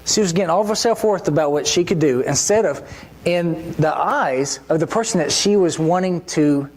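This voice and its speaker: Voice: medium pitch, normal speed, darkish tone. A preacher giving a church sermon in a conversational way, often asking the listeners rhetorical questions.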